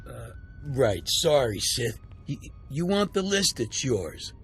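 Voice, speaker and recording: A husky male convict thug in a game. He drags out his words at a middle pitch, over quiet music. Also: very animated, takes it slowly, exaggerated voice. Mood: cowed, nervous. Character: swaggering convict thug who cowers before power. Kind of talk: game cutscene acting.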